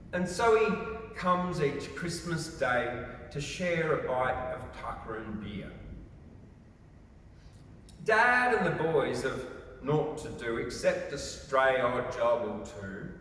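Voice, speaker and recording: A man gives a theatrical, expressive dramatic recitation of poetry as a storyteller. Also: medium pitch, slight background noise. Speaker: poetry reader. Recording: very echoey, reverberant, distant from mic.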